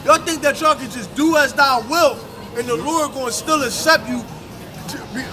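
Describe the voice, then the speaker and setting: A man street preaching in a forceful, confrontational way, ending phrases with "man", outdoors on a city street. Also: extremely high pitch, moderate pace, over music. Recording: street crowd noise.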